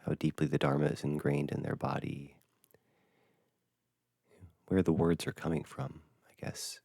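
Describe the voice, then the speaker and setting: Very deep, creaky, slow-spoken male meditation guide. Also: little pitch movement, no echo, clean studio sound. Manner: gentle, hushed, halting with long pauses. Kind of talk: unscripted dharma talk for guided meditation.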